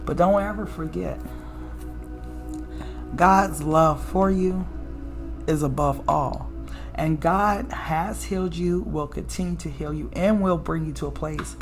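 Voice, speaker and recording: A woman with a raspy alto voice giving a casual, preachy spiritual tarot reading, often checking in with "okay?" and "right?". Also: slow pace, long pauses, soft background music. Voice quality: low alto voice. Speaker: tarot reader.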